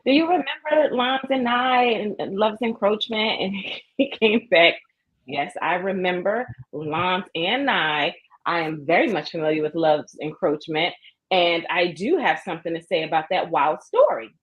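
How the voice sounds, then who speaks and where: A woman hosting a casual live chat, chatty and quick to laugh. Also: lowish voice, animated, breathy edge, lo-fi sound.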